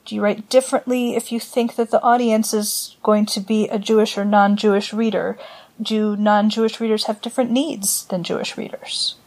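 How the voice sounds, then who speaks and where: A woman hosting a podcast panel, introducing the topic and posing questions in a measured way. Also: medium pitch, steady tone, a little mellow. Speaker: podcast host.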